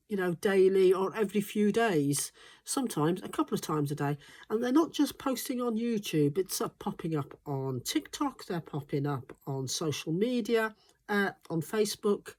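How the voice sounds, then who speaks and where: Congested, low-voiced woman rambling casually. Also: a little mellow. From Wales. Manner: rambling, frequent ums and restarts. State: congested from flu. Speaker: smallholding vlogger. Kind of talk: casual chatty monologue.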